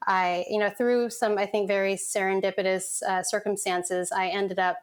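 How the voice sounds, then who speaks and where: A woman in her forties speaking as a podcast guest in a relaxed, reflective way. Her voice sits at a middle pitch for a woman, and she strings thoughts together with easy fillers as she recalls her path. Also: moderate pace. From San Francisco, United States. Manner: reflective, chatty storytelling with frequent "you know" fillers. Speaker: guest, content design manager. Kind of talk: casual podcast interview.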